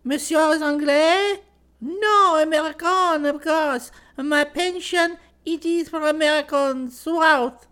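Man putting on a shrill, gushing French landlady. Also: steady tone, takes it slowly, bright, forceful tone, exaggerated voice. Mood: gushing, eager. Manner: effusive, broken English mixed with French. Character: stout, gushing French landlady of a Paris pension.

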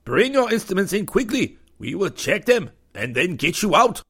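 A man's voice: high-pitched, sing-song, moderate pace, creaky, a bit bright, husky, voice pushed up, exaggerated voice. A man doing a curt, barking enemy commander. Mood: gruff, suspicious. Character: scowling armed male enemy ship commander.

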